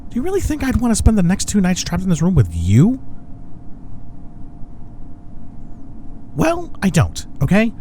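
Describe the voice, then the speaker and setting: A man acting as a cocky, sharp-tongued, flirtatious office rival in an intimate audio roleplay, with a smug, sarcastic way of talking. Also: high-pitched, huge pitch swings, slight background noise. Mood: exasperated, blunt. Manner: smug, sarcastic, drawn-out taunting.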